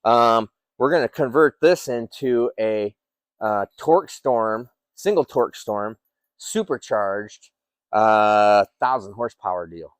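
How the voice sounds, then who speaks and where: A man's voice: fairly low pitch, slow pace, clean tone, no room sound. A man in his fifties, an engine builder in his workshop, walking through a project car in a rambling, enthusiastic way with lots of fillers and restarts. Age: 50s. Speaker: engine builder and shop owner. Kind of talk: casual walkaround of a project car.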